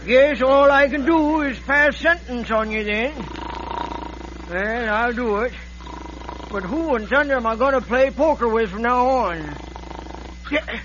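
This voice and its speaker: A crooked old frontier judge in a radio western, blustering and grumbling. His voice is extremely high and slow. The recording is old and noisy. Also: dull audio. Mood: grudging, exasperated. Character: blustery, folksy, crooked Old West judge, older man. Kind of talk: 1940s-50s radio western acting.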